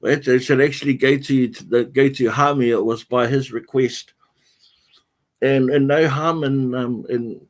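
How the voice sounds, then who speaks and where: Male livestream host, middle-range voice, slow and halting delivery as he answers comments. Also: medium pitch, steady tone. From New Zealand. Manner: answers viewer comments one by one, halting and unhurried. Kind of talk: casual livestream chat.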